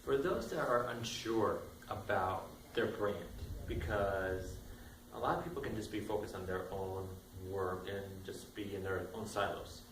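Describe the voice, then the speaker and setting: A man hosting an interview, asking questions in a halting way with many fillers. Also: lowish voice, a bit bright. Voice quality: halting, filler-heavy speech. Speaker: interview host. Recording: echoey room, distant mic, noisy.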